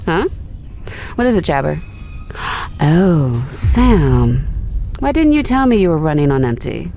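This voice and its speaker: A woman's voice: low voice, very animated, unhurried, dark tone, a little hiss or hum, full character voice. A woman playing a wry, restless young space explorer in a sci-fi audio drama, casual and teasing. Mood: wry, brisk.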